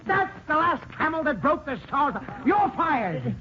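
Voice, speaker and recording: An American actor playing an irascible, bossy middle-aged company boss in 1940s radio sitcom style, indignant and theatrical. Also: male voice, very high voice, big pitch swings, a little slow, sharp tone, heavily acted. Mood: indignant, exploding. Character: irascible, blustering male construction company boss. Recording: muffled, noisy 1940s radio recording.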